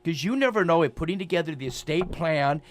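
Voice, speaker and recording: A man in his sixties with a slightly high-pitched voice hosts a promotional radio talk show. He speaks with emphasis, often restating a phrase to drive it home. His delivery is chatty and sales-minded. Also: animated, a little fast. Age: 60s. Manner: emphatic, repeats phrases for effect.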